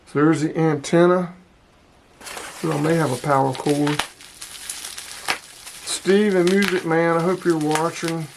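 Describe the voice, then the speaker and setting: A man with a voice pitched a little above average talks through an unboxing. He is informal and thinks aloud as he handles things, like a casual vlogger. There is a light layer of noise behind him. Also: fairly even intonation, a little slow, vocal fry, pauses often. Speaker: vlogger unboxing radio gear. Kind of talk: casual hands-on unboxing commentary.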